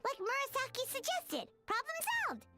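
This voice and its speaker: A woman voicing a small, childlike, excitable fairy companion in an anime game, with a squeaky voice. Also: normal speed. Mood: upbeat, determined. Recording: music playing behind.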